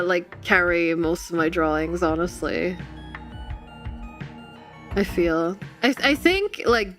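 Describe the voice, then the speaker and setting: A woman's voice: lowish voice, fairly bright tone. A woman livestreamer chatting casually and expressively with her audience in a giggly, chatty manner. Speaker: livestream host and artist. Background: background music.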